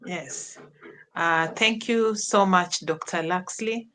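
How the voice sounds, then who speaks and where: A woman with a Kenyan accent, a teacher, telling her story as an interview guest, slow and halting with many pauses. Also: on the low side, bright, forceful tone. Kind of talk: personal testimony in an interview.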